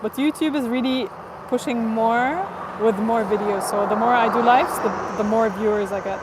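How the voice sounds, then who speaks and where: A woman's voice: fairly high pitch, normal speed. A woman hosting a casual live stream outdoors near an airport, chatting with viewers in accented English and laughing often. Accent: non-native English, neither Portuguese nor Spanish. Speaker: livestream host. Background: traffic and ambient noise.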